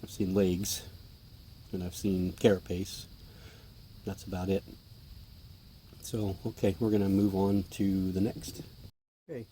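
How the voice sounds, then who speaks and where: A hoarse man with a mellow, slightly low voice talks casually while feeding his pets. His manner is chatty and offhand, and he sometimes drops to a near-whisper. A faint hiss sits under the recording. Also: rough recording. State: hoarse, clearing his throat. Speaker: tarantula keeper filming feedings. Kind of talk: casual hobby vlog narration.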